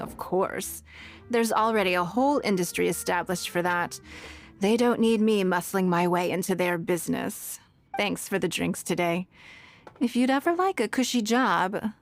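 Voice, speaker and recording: A woman acts a dignified game noblewoman who is always in control. Her mid-range voice is poised and measured, with a sly, elegant edge. Faint music sits behind her. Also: medium pitch, gravelly, close to an everyday voice. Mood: gently teasing. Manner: poised, measured, gently teasing. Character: dignified, shrewd, composed noblewoman leader. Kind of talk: video game voice acting. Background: background music.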